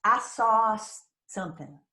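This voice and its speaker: A woman's voice: mid-range voice, measured pace, pushed and bright, echoey room. A woman acting a crazy, possessive, folksy small-town mother who is fond but prickly, in a screenplay table read. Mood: wistful recollection. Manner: folksy, dramatic storytelling with teasing jabs. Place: remote virtual table read, roomy-sounding space.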